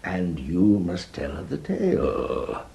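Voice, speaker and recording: A worldly English gentleman in a farce, with a deep voice and very lively intonation. He is pompous and self-satisfied, drawling his schemes like a man of experience. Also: takes it slowly, vocal fry, exaggerated voice. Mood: conspiratorial coaching. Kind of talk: vintage stage farce acting.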